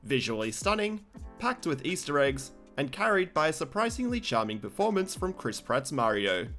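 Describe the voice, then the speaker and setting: A man delivers scripted review narration as the host of a countdown video. His pitch moves in a lively way around an ordinary male register, with faint music playing underneath. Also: normal speed.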